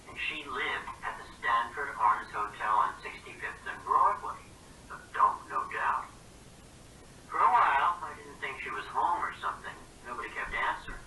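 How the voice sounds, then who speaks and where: A man reading a novel aloud in the first person, conversational and expressive, with a very bright tone and a lively rise and fall. He sits well back from the mic in a roomy space. A faint hiss runs underneath. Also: medium pitch, far from the mic. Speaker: reader.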